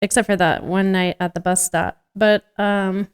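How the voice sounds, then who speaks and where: A woman's voice: medium pitch, level tone, measured pace, smooth. A woman co-hosting a podcast, with a teasing, joking manner, switching between casual banter and reading from a script. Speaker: podcast co-host.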